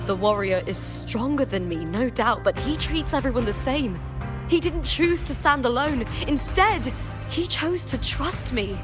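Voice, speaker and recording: A woman playing an earnest young female gladiator champion in a video game, soul-searching but resolute, with a heartfelt, deliberate delivery. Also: sits high, expressive, over music. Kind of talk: dramatic game cutscene monologue.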